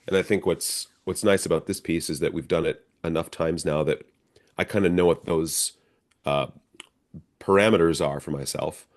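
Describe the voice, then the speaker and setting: A middle-aged American man with a low-pitched voice talks casually as an interview guest. He tells stories in a conversational way, slipping into quoted dialogue like the actor he is. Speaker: stage actor being interviewed.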